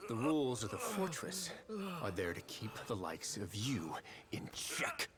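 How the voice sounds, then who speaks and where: A cool, low prison warden. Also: male voice, huge pitch swings, over a noisy crowd. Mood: menacing. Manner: cool, measured, quietly threatening. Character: calm, menacing young male prison warden.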